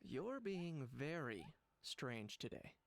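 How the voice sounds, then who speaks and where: A man voicing a composed, matter-of-fact young adventurer in a video game, calm and dry in manner. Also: fairly high pitch, slow, creaky, slight background noise, full character voice. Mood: puzzled, dry. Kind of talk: video game character acting.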